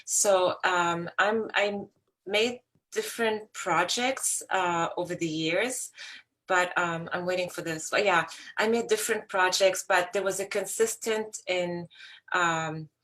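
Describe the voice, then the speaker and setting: A woman from Lebanon, now a photographer, talks about her projects. Her voice sits a little low, and she speaks in a loose, rambling way, often restarting her sentences. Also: slow pace, fairly bright tone. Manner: rambling, with self-corrections. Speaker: photographer guest. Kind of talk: casual talk about her work.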